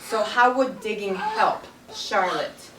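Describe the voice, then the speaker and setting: A woman's voice: medium pitch, sing-song, takes it slowly, a bit bright. Echoey, far-off woman teacher reading aloud. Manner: prompting with questions, echoing pupils' answers. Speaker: primary school teacher. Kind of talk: read-aloud lesson with questions to young children. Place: carpeted classroom full of young children. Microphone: distant camera microphone. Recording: echoey, distant, noisy classroom pickup.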